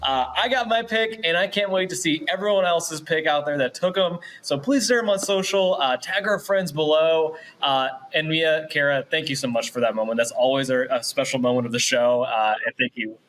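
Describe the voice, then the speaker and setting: A man's voice: a little high, moderate pace, few pauses, fairly bright tone. A man hosting a casual, upbeat online event in a chatty, encouraging, informal way. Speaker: show host.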